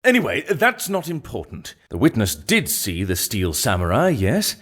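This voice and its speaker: A man's voice: medium pitch, huge pitch swings, normal speed, a bit bright. A man voicing a polished, haughty prosecutor in a courtroom game, with a formal, theatrical manner. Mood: smug, assured. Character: smug, polished male prosecutor, easily flustered. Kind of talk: video game fan voice acting.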